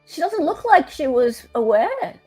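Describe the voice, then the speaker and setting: A woman hosting casual commentary in an animated, blunt way. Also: sits high, unhurried, no echo, soft background music. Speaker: host.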